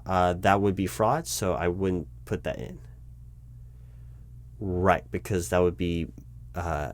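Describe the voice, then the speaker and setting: A man with an American accent and a fairly low voice plays a corporate recruiting manager in a one-sided roleplay. He speaks aloud in a conversational, improvised way. His delivery is hesitant and officious, often stopping and restarting as he explains procedure. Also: a little mellow. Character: flustered bureaucratic male corporate recruiting manager addressing his disguised boss.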